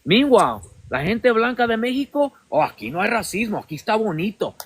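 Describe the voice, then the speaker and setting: High-voiced, emphatic Mexican man. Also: very animated, quick pace, breathy edge, no room sound. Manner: switches between English and Spanish, emphatic and insistent. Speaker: podcast host. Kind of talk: heated livestream debate.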